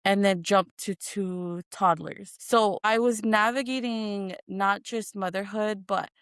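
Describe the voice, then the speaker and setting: A woman's voice: mid-range voice, fairly even intonation, slow pace, a bit bright, clean tone, no room sound, clean studio sound. A woman in her thirties from Hawaii and American Samoa, sharing personal stories casually and expressively as an interview guest. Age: 30s. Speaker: interview guest, content creator and performer.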